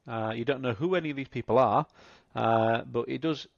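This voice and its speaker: Game master, male, gravelly, relaxed and halting, dull dry mic. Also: medium pitch, no room sound. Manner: relaxed narration with many fillers. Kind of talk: running a tabletop game.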